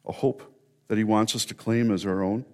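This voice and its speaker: A man preaching an Easter sermon as a pastor, earnest and warm in delivery, sometimes building to emphatic repetition. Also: fairly low pitch, steady tone, fairly quick.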